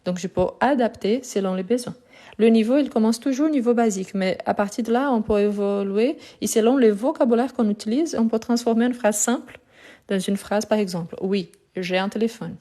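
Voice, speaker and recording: A woman's voice: on the high side, fairly quick, warm tone. A woman from France and Brazil giving an informal live language lesson as a teacher, explaining and rephrasing her points. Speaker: French teacher hosting a live class. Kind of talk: informal livestream lesson talk.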